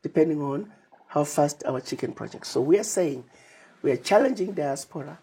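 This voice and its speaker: Husky, mellow-voiced woman from Zimbabwe. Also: low voice, animated, normal speed, rough recording. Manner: emphatic, lays out plans in lists and retells conversations. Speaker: interview guest, Pan-African advocate. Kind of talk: interview conversation.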